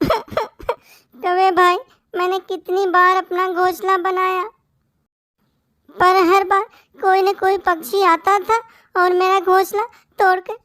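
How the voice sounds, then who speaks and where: A woman's voice: very high-pitched, fairly bright tone, no echo, clean studio sound, full character voice. A woman voicing a sad, timid little orphan bird in a cartoon, with a plaintive, tearful way of talking. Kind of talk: Hindi moral-story cartoon acting.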